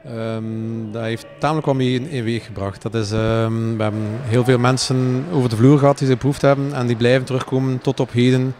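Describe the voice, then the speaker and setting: A man's voice: mid-range voice, steady tone, brisk, few pauses, darkish tone, a little hiss or hum. A man in his forties with a Flemish accent, a restaurateur answering interview questions off the cuff. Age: 40s. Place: indoors in a plain room. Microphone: handheld reporter microphone.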